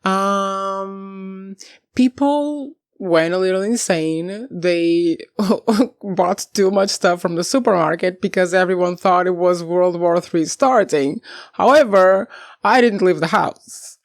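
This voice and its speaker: A young woman from Portugal chatting casually to camera about books, rambling and animated, laughing often and swearing freely, her voice on the deep side. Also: slow pace, dry recording, studio quality. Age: young adult. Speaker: book vlogger.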